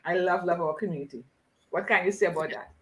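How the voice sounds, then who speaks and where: A woman's voice: on the low side, fast talker. A woman with a Trinidadian accent hosting a casual live business chat, effusive and repeating phrases for emphasis.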